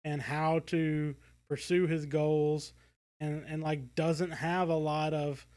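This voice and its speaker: Male podcast co-host, slightly higher-pitched, casual and discursive, halting delivery. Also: level tone, a little mellow. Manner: rambling, halting, self-correcting.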